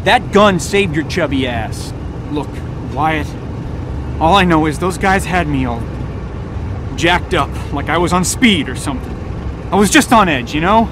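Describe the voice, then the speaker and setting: An actor playing a jittery, foul-mouthed young stoner driver in a video game, agitated and defensive in slangy speech. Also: male voice, a little high, animated, a little slow. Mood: shaken, defensive. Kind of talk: naturalistic video game voice acting. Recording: car engine noise behind. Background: car and outdoor ambience.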